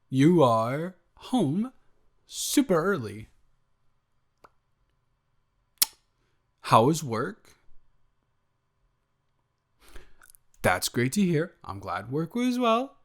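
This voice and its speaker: A man's voice: on the high side, big pitch swings, slow pace, full character voice. A man improvising an audio roleplay as a flustered, evasive roommate who talks straight to the listener, stammering and joking as he rambles. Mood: cheerful, casual. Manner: rambling, stammering, self-interrupting, playful.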